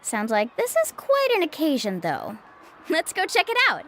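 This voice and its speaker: High, lively young guide. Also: female voice, normal speed, slight background noise, full character voice. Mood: earnest explaining. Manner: friendly, chatty, persuasive. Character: upbeat young woman water-sports guide and shopkeeper. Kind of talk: scripted anime game voice acting.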